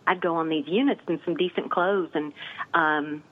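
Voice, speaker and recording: Low-voiced Southern woman on a phone line. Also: steady tone, moderate pace. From Nashville, Tennessee. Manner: chatty, laughs often, restarts. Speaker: cemetery tour guide. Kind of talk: casual chat.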